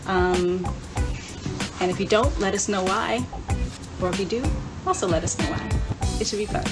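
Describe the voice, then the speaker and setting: A woman's voice: medium pitch, normal speed, loud music behind the voice. A woman hosting a casual livestream chat in an enthusiastic, chatty way. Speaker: host. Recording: clear background room noise.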